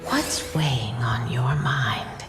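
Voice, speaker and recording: A woman's voice: extremely low pitch, takes it slowly, over music, full character voice. A woman voicing a tender, wise motherly figure who gives prophecy in a game, soothing and solemn. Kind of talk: dramatic game acting. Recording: echoey hall reverb, faint ambient noise.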